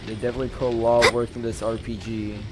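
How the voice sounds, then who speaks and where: A man doing casual gameplay commentary, rambling and halting, often repeating himself as he goes. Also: mid-range voice, flat delivery, background noise. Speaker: gamer playing and commenting. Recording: loud game music behind.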